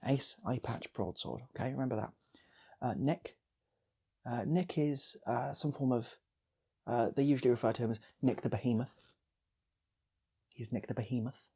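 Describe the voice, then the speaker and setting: A man's voice: mid-range voice, normal speed, gravelly, long pauses, warm tone, breathy edge. A man speaking casually as a channel host, in a playful, rambling way with repeated phrases, like improvised comic storytelling.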